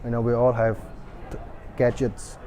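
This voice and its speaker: A man hosting an event interview, eager and halting, with frequent restarts and fillers. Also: medium pitch, monotone, mellow.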